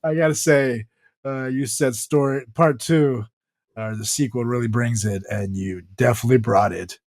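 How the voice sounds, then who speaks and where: A man's voice: mid-range voice, normal speed, a little mellow, clean studio sound. A man hosting a comedy podcast, moving between casual banter and reading a comic story aloud. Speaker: podcast host. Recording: echoey room with music behind.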